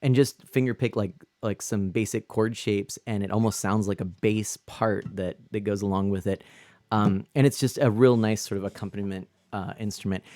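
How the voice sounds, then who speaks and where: A man's voice: lowish voice, a little mellow, no echo, studio quality. A man hosting his own live stream, chatting in an upbeat, casual way with frequent laughs and fillers. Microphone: streaming microphone.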